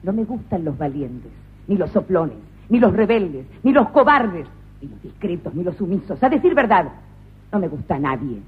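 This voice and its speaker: A woman's voice: lowish voice, very animated, normal speed, slightly rough, full character voice. A performer voicing a cruel, sadistic woman who runs a prison, domineering and scornful, in a comic radio play. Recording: tinny lo-fi radio recording.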